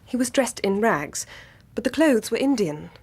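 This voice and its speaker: Earnest, troubled gentlewoman. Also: fairly high pitch, expressive, husky. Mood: haunted. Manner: grave, deliberate, formal. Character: earnest, troubled young gentlewoman, horrified witness. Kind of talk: radio drama acting.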